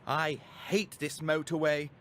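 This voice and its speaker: Man putting on a weary mum, raised pitch. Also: level tone, a little slow, fairly bright tone, a little hiss or hum. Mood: exasperated. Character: boy's mother, weary, mildly exasperated, affectionate.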